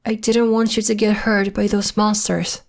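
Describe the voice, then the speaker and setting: A woman playing a young, polite and mysterious half-werewolf in an ASMR roleplay, speaking in a gentle, hesitant, earnest way. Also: medium pitch, flat delivery, moderate pace, natural voice. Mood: caring, protective. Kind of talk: ASMR roleplay, intimate one-sided acting.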